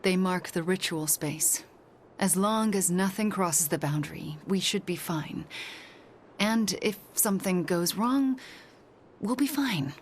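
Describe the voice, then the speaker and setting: Lowish, assured sorceress. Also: female voice, lively intonation, slow pace, slight background noise. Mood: focused, grave. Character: focused, assured sorceress leading a ritual. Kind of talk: video game voice acting.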